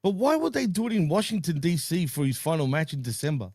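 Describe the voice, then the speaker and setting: Laid-back laughing podcast host, dry sound. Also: male voice, fairly high pitch, very animated, quick pace, a little mellow, no echo. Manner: laid-back, laughs often, hedges with fillers. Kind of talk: casual wrestling chat.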